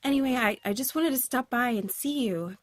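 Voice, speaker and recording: An anxious, apologetic young woman voiced by an actress stumbles and restarts as she talks in an audio drama. Her voice is fairly high, and the recording is close and dry. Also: no echo, close to an everyday voice. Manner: halting, apologetic rambling. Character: nervous, rambling young woman friend.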